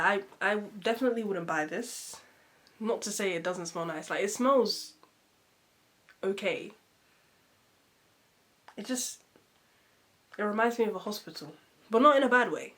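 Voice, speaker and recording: A woman reviewing perfumes in a casual vlog. She sounds nasal and muffled from a cold, and she talks in a chatty, expressive way with lots of fillers. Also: medium pitch, unhurried, long pauses. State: congested from a cold.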